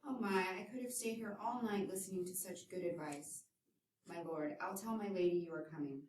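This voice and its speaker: A woman's voice: lowish voice, fairly quick, a little mellow, slight background noise, close to an everyday voice. A woman voicing a fussy, devoted older nurse who frets and exclaims in a scripted audio drama. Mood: bustling. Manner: fretful, exclaiming. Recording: echoey, distant from the mic.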